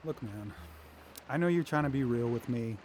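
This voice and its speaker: Withdrawn young guy, acted by a man, medium pitch. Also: animated, normal speed, darkish tone, lightly acted. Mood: downcast. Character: downcast, withdrawn American teenage boy. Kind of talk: casual naturalistic audio drama dialogue. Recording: faint background ambience noise.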